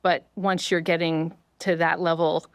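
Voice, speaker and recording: A woman's voice: fairly low pitch, little pitch movement, measured pace, no room sound, clean studio sound. A middle-aged woman from rural Vermont, a scientist explaining how hearing works in a thoughtful, conversational way. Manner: explanatory, thoughtful, frequent affirming fillers. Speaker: neuroscientist guest.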